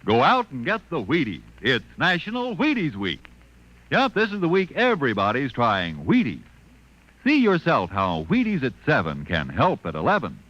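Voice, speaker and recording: Male American commercial announcer, exclamatory and swooping, fairly high, muffled 1950s radio sound. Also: huge pitch swings, normal speed, a bit bright. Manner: punchy, sloganeering, exclamatory. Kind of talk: upbeat 1950s radio advertising pitch. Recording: muffled old radio broadcast recording.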